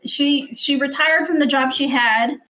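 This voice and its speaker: A woman's voice: high-pitched, sharp tone, low-quality recording. A woman from Guatemala whose first language is Spanish, a company president speaking as a podcast guest in a friendly, agreeable way. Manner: friendly, agreeable, quick to affirm. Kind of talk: conversational interview.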